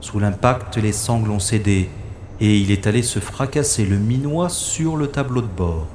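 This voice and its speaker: A man's voice: lowish voice, normal speed, darkish tone, close to an everyday voice. Guy acting as a cool sardonic secret agent in a sci-fi audio drama, flippant, bit of noise behind. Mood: dry, observant. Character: wry, cool-headed male special agent in space.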